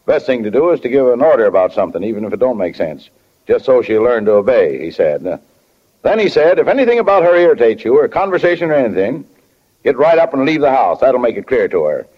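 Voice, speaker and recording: A man's voice: medium pitch, very animated, fast, husky. An actor playing a kindly, flustered middle-aged small-town father in 1940s radio drama, hesitant and folksy, often fumbling for words. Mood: folksy, reminiscing. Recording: dull, lo-fi 1940 radio recording.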